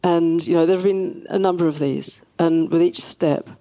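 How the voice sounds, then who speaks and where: A woman speaking as a scientist guest in an interview, explaining things in a measured way. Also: lowish voice, fairly even intonation, dark tone.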